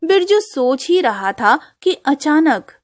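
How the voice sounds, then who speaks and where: Slightly high-voiced woman storyteller. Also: very animated, slow pace, dry recording, clean studio sound. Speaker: narrator. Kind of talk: scripted story narration.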